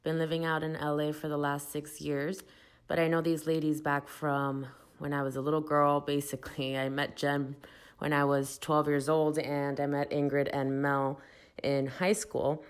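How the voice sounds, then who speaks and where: A woman in her thirties from Miami, co-hosting a casual podcast chat with old friends in a relaxed, reminiscing way. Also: low voice, normal speed, smooth. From Miami, United States. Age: 30s. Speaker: podcast co-host.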